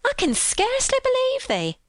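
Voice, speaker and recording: A woman's voice: on the high side, big pitch swings, moderate pace, fairly bright tone, no room sound, pitched above the performer's own voice. A woman voicing an agitated, plain-spoken young housemaid in an audiobook. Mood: amazed. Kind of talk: audiobook character voice.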